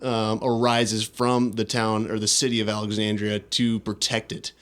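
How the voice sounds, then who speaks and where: A man's voice: lowish voice, little pitch movement, moderate pace, a bit bright. A man hosting a podcast, casually retelling video game scenes with dramatic storytelling emphasis. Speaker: podcast host. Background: music behind the voice.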